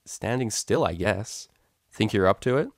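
A man's voice: lowish voice, expressive, normal speed, dry recording. A brash young female unicorn student from a storybook as a man reads her. The talk is casual, blunt and teasing, recorded dry with little room around it.

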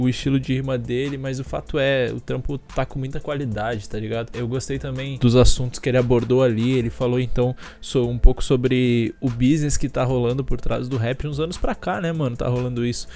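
A man's voice: medium pitch, mellow. Male, 20s, Brazilian accent. Informal music reviewer, slangy and conversational, mid-range voice, home studio mic, faint music. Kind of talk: casual commentary. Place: small home bedroom studio. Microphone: close desk-mounted condenser microphone.

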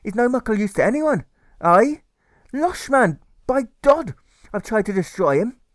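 High, bellowing angry Scotsman. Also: very animated, unhurried, no room sound, clean studio sound. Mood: angry, exasperated. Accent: Scottish. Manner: bellowing, emphatic dialect rant. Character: angry Scottish male carer, exasperated and spiteful.

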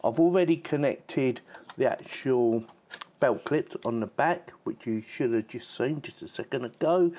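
Bloke doing a casual gadget review, mid pitched voice, chatty and informal, repeats himself a bit. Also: male voice, a little mellow. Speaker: product reviewer. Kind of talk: casual hands-on gadget demonstration.

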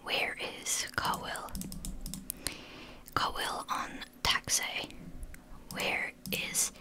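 A woman, whispering casually through language-app practice, halting and swearing now and then. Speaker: language learner.

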